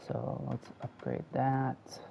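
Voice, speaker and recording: A raspy-voiced man hosting a casual gameplay walkthrough, speaking close to his mic and keeping his voice down. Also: medium pitch, monotone, slow pace, dark tone, a little hiss or hum. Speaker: gaming walkthrough host. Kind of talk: casual live gameplay chat. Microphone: console headset or close mic.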